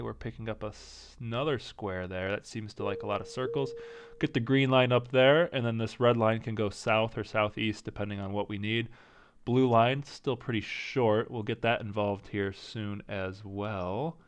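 A man casually commenting as he plays a strategy game, thinking aloud and second-guessing his choices, with a slight rasp and an occasional cough. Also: medium pitch. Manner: thinking aloud, indecisive, self-talking. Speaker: gaming channel host. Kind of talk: casual let's-play commentary.

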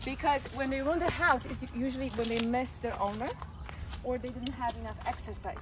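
Earnest high-voiced woman, noisy outdoor recording. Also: fairly even intonation, a little fast. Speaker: dog owner and vlogger. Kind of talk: casual vlog talk giving dog advice. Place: outdoors on a wooded hiking trail. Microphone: camera held by another person, a few steps away. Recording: noisy outdoor handheld camera audio.

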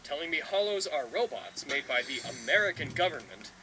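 A man's voice: on the high side, big pitch swings, brisk, sharp tone. A man voicing a brash, hot-headed, exasperated teenage sword fighter in an anime parody dub. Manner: exasperated, blunt. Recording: noisy, played in a reaction video.

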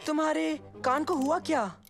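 A woman voicing a cautious, reluctant young boy in an animated comedy. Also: high voice, moderate pace. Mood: teasing.

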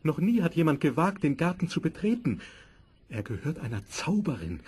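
A man's voice: fairly high pitch, sing-song, moderate pace, darkish tone, slightly rough, heavily acted. A man playing a devoted, fearful husband in a fairy-tale audio play, speaking in an earnest, dramatic way. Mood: fearful refusal.

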